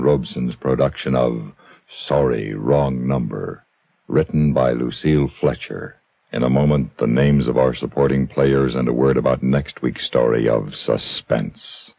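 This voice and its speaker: A man's voice: a bass voice, steady tone, a little slow. A man announcing a radio drama in a formal, scripted, old-time broadcast style. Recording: dull vintage radio recording.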